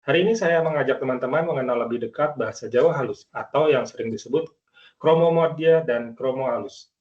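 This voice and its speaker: A man's voice: medium pitch, brisk, a little mellow, muffled recording. A man from Jakarta giving a friendly, explanatory class self-introduction. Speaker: student presenter. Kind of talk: class presentation.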